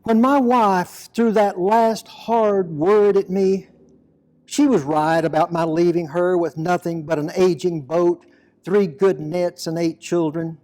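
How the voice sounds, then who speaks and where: A man reading a dramatic monologue poem as an aging, plain-spoken fisherman apostle, deliberate, reflective and full of guilt and devotion. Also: high voice, steady tone, darkish tone. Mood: rueful, heavy. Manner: slow, deliberate, reflective storytelling.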